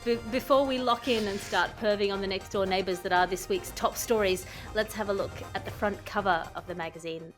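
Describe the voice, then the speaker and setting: A woman's voice: medium pitch, fairly quick, few pauses, faint music behind. A woman hosting a satirical news podcast, speaking in a wry, wordy, ironic way between script and banter. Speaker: podcast host.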